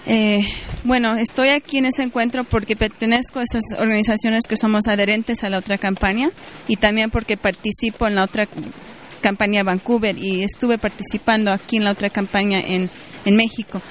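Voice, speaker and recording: A woman activist answering interview questions with steady, explanatory talk and frequent fillers. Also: medium pitch, fairly quick, slight background noise. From Mexico.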